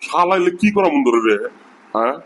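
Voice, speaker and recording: A man's voice: a little high, expressive, brisk, background noise, lightly acted. A man voicing an exasperated, scolding village teacher. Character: exasperated middle-aged male village teacher.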